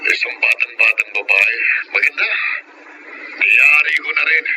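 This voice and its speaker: A man's voice: medium pitch, measured pace, sharp tone, slight background noise, low-quality recording. A man playing a boastful, crude male henchman and killer in a Filipino radio-style drama. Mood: casual. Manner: crude gloating, cackling.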